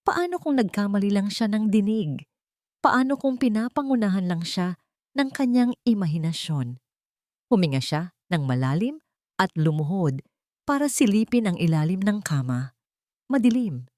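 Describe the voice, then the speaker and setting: A woman's voice: medium pitch, big pitch swings, normal speed, a little mellow, no echo, clean studio sound. A woman narrating a scripted romance story in a dramatic style.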